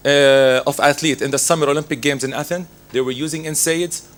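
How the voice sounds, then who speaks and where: Hoarse man giving a lecture. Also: a little high. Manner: methodical, reading through slides. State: hoarse from laryngitis. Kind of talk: medical lecture.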